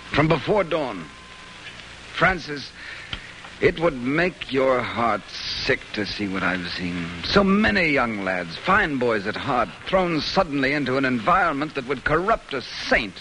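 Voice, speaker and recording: A devout, self-doubting preacher in a vintage radio drama. He speaks haltingly and earnestly, with a bright, lively swing in pitch, over faint recording noise. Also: heavily acted, low-quality recording. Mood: weary, troubled. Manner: halting, earnest, repeats words. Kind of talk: old-time American radio drama acting.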